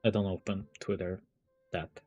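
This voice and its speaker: A man chatting casually to viewers as a live streamer, halting and thinking aloud as he goes. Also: low voice, level tone, a little mellow, dull audio, soft background music. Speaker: live streamer reading chat.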